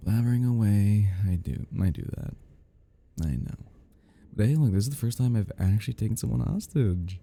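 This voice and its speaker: A man playing an obsessed, giddy and menacing crime scene cleaner in an intimate audio roleplay, rambling in a husky voice that drops into whispers. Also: fairly low pitch, expressive, normal speed, dark tone. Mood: giddy, chatty. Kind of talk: intimate ASMR-style dramatic roleplay.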